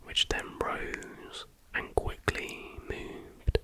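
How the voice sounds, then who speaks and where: A man whispers softly and without hurry in an informal ASMR narration, as the host of the video. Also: drawn-out words.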